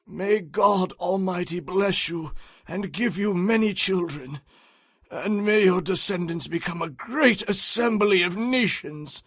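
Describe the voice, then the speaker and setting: An actor playing an elderly, solemn father blessing his son in a dramatized audio Bible, speaking deliberately. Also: high-pitched, fairly bright tone, no echo, heavily acted.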